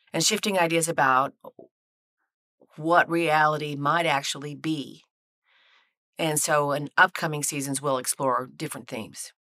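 A middle-aged woman speaks as a guest in a podcast interview, in a voice pitched on the low side. She is enthusiastic and emphatic, repeating herself as she explains in a conversational way. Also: fairly bright tone. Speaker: podcast guest, audio drama writer-director.

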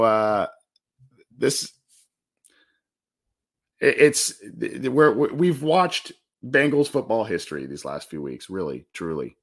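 A man with a raspy, scratchy voice hosting a casual sports talk, reading off game stats with frequent restarts. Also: mid-range voice. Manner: restarts and stumbles, reads stats in a list. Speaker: sports podcast host.

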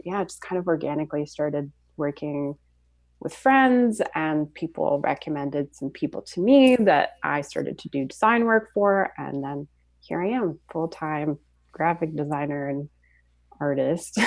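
A young woman from Switzerland, a podcast guest and graphic designer, talking in a relaxed storytelling way with frequent ums and soft laughs. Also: low voice, a little slow, vocal fry, no echo. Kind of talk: casual interview chat.

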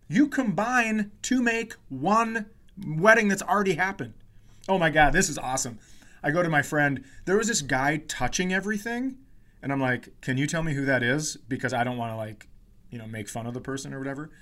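Higher-voiced man, profane comic storyteller. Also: fairly quick, a bit bright. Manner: profane, mock-indignant, acts out quoted dialogue. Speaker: podcast host and comedian. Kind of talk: casual comedic storytelling.

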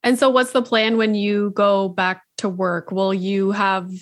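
An upbeat woman hosts a podcast, asking curious questions and reacting quickly. Her voice sits at a middle pitch, and the recording is dry and close to the mic. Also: flat delivery, no room sound. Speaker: podcast host. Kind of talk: casual interview.

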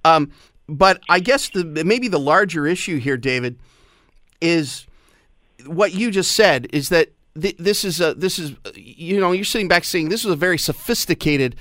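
A man hosting a radio talk show in a studio, chatty and excitable, with lots of fillers. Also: fairly high pitch, normal speed, no room sound. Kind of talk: casual radio chat.

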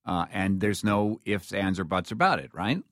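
A man's voice: low voice, lively intonation, normal speed, fairly bright tone, no room sound, studio quality. A man hosting an interview, asking questions in a direct, plain-spoken, conversational way. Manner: direct, plain-spoken, rephrases points colloquially. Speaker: interviewer, host.

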